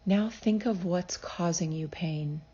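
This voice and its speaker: A woman leading a scripted guided meditation as a psychologist, speaking in a soothing, measured, gently instructive manner. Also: fairly low pitch, breathy edge, muffled recording.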